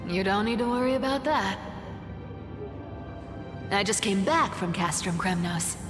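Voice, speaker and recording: A woman playing a worldly, nimble demigod scout in a video game, talking in a wry, casual, unhurried manner. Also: mid-range voice, lively intonation, natural voice. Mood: wry, cool. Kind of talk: dramatic game voice acting. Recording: reverberant hall, music behind.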